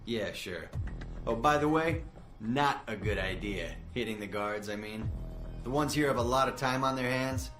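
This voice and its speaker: An actor playing a cocky young spy agency tech trainer, breezy, needling and smug in a video game. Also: male voice, medium pitch, normal speed, a bit bright, natural voice. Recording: roomy echo with music underneath.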